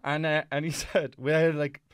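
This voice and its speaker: An Irish man co-hosting a casual comedy podcast, an animated storyteller who keeps breaking into mock voices. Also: fairly high pitch, moderate pace, smooth, dry recording, clean studio sound. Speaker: podcast co-host.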